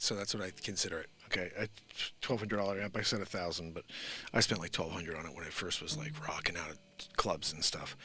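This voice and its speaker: Bright-voiced American man chatting casually. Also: on the low side. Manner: informal, rambling, slangy. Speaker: musician hosting a livestream. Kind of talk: casual livestream chat to viewers.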